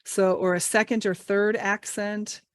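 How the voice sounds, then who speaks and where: A woman's voice: mid-range voice, level tone, a little slow, clear, resonant tone, dry recording, clean studio sound. A woman trainer leading an online workshop, upbeat and encouraging, asking the group many questions. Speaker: English language trainer. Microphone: video call mic.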